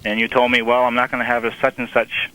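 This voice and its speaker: A man's voice: medium pitch, flat delivery, quick pace, pushed and bright, poor audio. A man calling a radio show, chatting casually with dry, joking remarks. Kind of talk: casual chat.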